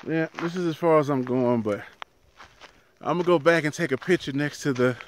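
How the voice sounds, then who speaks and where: A man in his thirties, a truck driver, chatting casually to his viewers in a handheld vlog, outdoors by a highway with the phone at arm's length. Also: fairly quick, slight background noise. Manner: chatty, talks to viewers as "y'all", laughs easily. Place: outdoors in an empty desert town by a highway. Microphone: phone held at arm's length.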